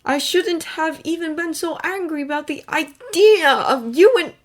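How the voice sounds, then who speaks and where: A woman voicing an earnest, remorseful young man who speaks in a high, strained, pleading voice. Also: expressive, measured pace.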